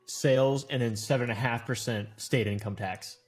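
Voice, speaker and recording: A man's voice: mid-range voice, steady tone, moderate pace. A man in a casual podcast chat, making dry, matter-of-fact remarks.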